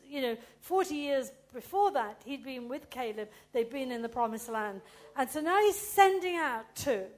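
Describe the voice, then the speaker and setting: A woman preaching a chatty sermon, loud, reading a Bible story aloud. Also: high voice.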